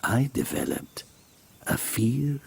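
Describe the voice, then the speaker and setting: A man's voice: lowish voice, very animated, slow, vocal fry, darkish tone, husky, full character voice. An actor playing an elderly, wealthy Italian man who tells his tale in a formal, theatrical manner. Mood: confiding. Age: older. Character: elderly Italian man, wealthy former mayor, uneasy storyteller. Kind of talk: dramatic first-person storytelling.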